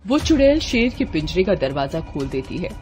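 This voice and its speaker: A woman's voice: on the low side, very animated, normal speed, music in the background. A woman narrating a children's horror tale in a dramatic, scripted storytelling style.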